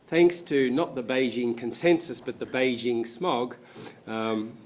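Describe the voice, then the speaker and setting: Hoarse male academic, panel lecture, halting delivery with lots of ums, mid-range voice. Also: medium pitch, a little mellow. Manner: hesitant, frequent ums and restarts. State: hoarse, croaky voice. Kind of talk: public lecture talk. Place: university lecture room.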